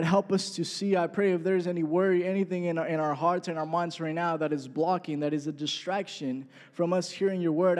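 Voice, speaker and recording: A man's voice: fairly high pitch, dark tone. A man in his twenties preaching a sermon in a conversational way, often checking in with the congregation. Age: 20s. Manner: conversational preaching, frequent "right?" asides. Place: church hall. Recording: echoey hall.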